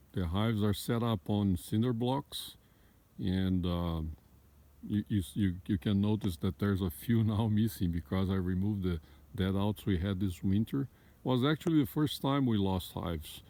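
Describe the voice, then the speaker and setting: A man's voice: fairly low pitch, creaky, a little mellow. A man from Brazil with a Brazilian Portuguese accent, talking in a hesitant, filler-heavy way while showing his backyard beehives outdoors. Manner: hesitant, many "uh" fillers and restarts. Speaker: beekeeper, channel host. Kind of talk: casual walkthrough vlog. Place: outdoors in a wooded backyard apiary.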